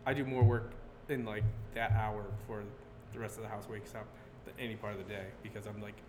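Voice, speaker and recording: A man in his thirties, a guest artist, talking loosely about his work and sports, heavy on filler words and quick laughs. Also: lowish voice, level tone. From Connecticut. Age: 30s. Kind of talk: casual podcast chat. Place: brewery taproom. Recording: roomy live venue with loud background noise.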